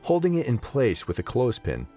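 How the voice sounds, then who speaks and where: A man narrating a scripted story in an expressive storytelling manner. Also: mid-range voice, brisk, faint music behind.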